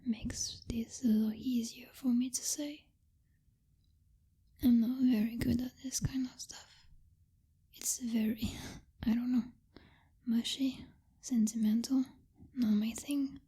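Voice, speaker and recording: A woman acts out a possessive vampire girlfriend in an intimate ASMR roleplay. Her voice is a dark, velvety whisper, very breathy and close to the mic. She speaks slowly, with lingering pauses and hums, bossy and teasing yet secretly tender. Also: a little high, steady tone, full character voice. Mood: jealous, vulnerable. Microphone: close-up microphone.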